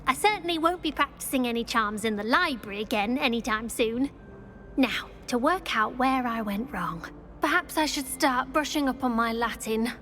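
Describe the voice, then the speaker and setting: High-voiced British schoolgirl. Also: animated, normal speed, a bit bright, slight background noise. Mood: relieved, rueful. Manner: polite, wordy, earnest. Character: bookish teenage schoolgirl, apologetic and eager experimenter. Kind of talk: video game character dialogue.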